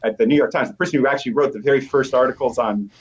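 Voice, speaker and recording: Male expert guest, mid-pitched, assured explanatory interview talk, somewhat muffled lo-fi recording. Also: very fast. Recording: dull, lo-fi audio.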